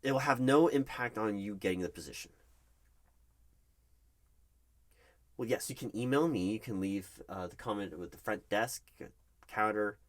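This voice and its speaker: A man's voice: lowish voice, brisk, close to an everyday voice. A man playing a nervous, polite corporate hiring manager in an ASMR roleplay, speaking formally with some hesitation. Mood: flustered, accommodating. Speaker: roleplay performer. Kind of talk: one-sided ASMR roleplay of a job interview.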